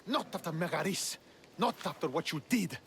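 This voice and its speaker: An actor playing a bitter, proud Spartan commander in a video game, with a scornful, biting delivery. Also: male voice, on the high side, animated, moderate pace, creaky, a bit bright, slight background noise. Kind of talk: dramatic video game dialogue.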